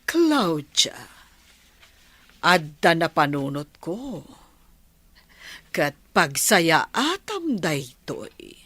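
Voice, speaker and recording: Slow, low-voiced scheming stepmother. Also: very animated, pushed and bright, slightly rough, no echo, heavily acted. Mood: sly, plotting. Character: scheming middle-aged stepmother, sly, manipulative villainess. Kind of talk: radio soap drama acting.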